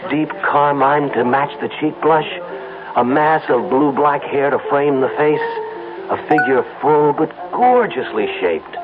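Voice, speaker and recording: An American actor playing a smitten, excitable advertising man in an old-time radio drama, effusive and dreamy. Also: medium pitch, close to an everyday voice. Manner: effusive, given to dreamy rhapsodies. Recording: muffled vintage radio broadcast, music behind.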